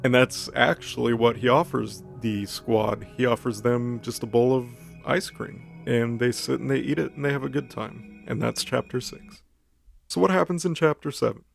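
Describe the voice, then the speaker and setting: Male comedic storyteller, mid-pitched and gravelly with fry, playful casual recap, music bed. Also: fairly even intonation, normal speed.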